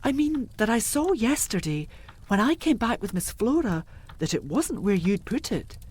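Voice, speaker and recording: A woman playing a deferential, easily shocked older housekeeper in a gothic audio drama, with a husky, halting, emphatic delivery. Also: on the high side, big pitch swings, darkish tone. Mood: grave, confiding. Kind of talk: audio drama acting of Victorian gothic ghost story.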